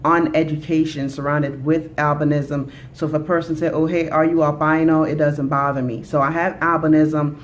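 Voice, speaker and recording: A woman's voice: low-pitched, flat delivery, moderate pace, hardly any pauses, slight background noise. A woman in her thirties from Jamaica, vlogging in a chatty, upbeat way to her viewers, with many "you know"s. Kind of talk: casual talk to camera.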